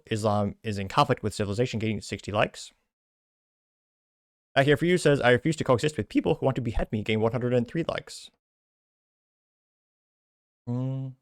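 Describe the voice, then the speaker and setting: A man's voice: mid-range voice, no echo, clean studio sound. A man hosting a commentary show, casually reading comments aloud with rambling asides and self-corrections. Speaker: host.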